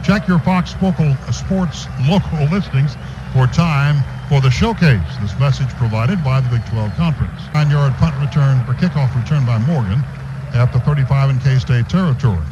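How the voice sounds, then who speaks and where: Male radio play-by-play announcer, mid-pitched voice, live game calls and sponsor reads, dull noisy recording. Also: normal speed. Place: stadium radio booth.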